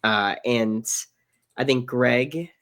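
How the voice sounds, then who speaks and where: A man's voice: medium pitch, extremely slow, a bit bright. A man co-hosting a casual baseball podcast over a video call, strained as if losing his voice, talking through his analysis earnestly. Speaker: co-host, prospect analyst. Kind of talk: casual baseball prospect discussion.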